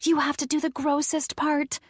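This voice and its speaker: A woman's voice: little pitch movement, normal speed, no room sound, clean studio sound, natural voice. A woman voicing a playful little girl who squeals and gives orders. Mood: giggly bossy. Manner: high, squealing, bossy.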